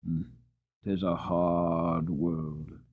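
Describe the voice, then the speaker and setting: A man voicing a bitter, sorrowful young mare in a storybook, speaking in a slow, lamenting way. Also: low voice, flat delivery, narrow, tinny audio, pitched below the performer's own voice, exaggerated voice. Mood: sad resignation.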